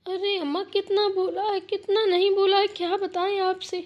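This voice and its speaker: A woman's voice: extremely high pitch, level tone, moderate pace, muffled recording. A woman voicing a troubled, pleading married daughter in a cartoon family drama, with a plaintive, wordy way of complaining. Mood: worried, pleading.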